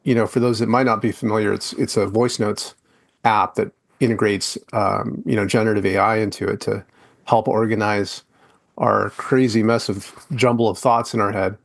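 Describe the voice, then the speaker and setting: A man's voice: on the low side, little pitch movement, moderate pace, vocal fry. A man hosting a podcast interview, talking loosely with lots of fillers and restarts. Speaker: podcast host. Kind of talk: casual interview chat.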